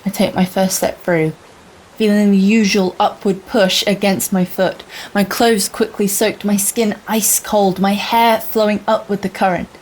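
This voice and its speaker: A young woman playing an earnest, wistful girl explorer in a radio play, narrating in a measured, confiding, dramatic way. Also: mid-range voice, fairly even intonation, a little mellow, slight background noise, close to an everyday voice, poor audio. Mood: tense wonder. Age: young adult. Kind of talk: scripted first-person radio play monologue.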